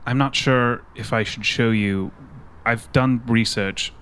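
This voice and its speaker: A man's voice: medium pitch, fairly even intonation, slow pace, fairly bright tone, smooth. A man roleplaying a caring investigator who speaks hesitantly and carefully. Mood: hesitant, concerned.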